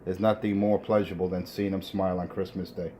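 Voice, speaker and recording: A man's voice: low voice, little pitch movement, fast, background noise. A man chatting casually over the radio, affirming and ending lots of phrases with "man". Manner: says "man" often, affirming. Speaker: radio contact.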